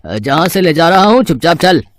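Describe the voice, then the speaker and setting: A man's voice: fairly high pitch, very animated, moderate pace, background noise. A man playing a threatening, bossy kidnapper thug in an acted moral story cartoon. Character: menacing male thug and child kidnapper, demanding money.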